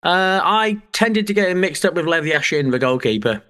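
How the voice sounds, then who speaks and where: A man's voice: fairly high pitch, lively intonation, normal speed, sharp tone. An English man co-hosting a podcast, chatting casually with a joking, mock-serious manner and casual swearing. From England. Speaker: podcast co-host. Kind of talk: casual chat.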